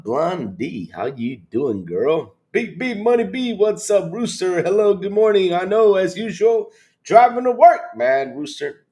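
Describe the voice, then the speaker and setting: Man, livestream host, congested and hoarse, animated casual chat, mild swearing. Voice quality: hoarse, grumpy-sounding congested voice. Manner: animated, loud bursts and low asides, mild swearing. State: congested, sick with allergies or a cold.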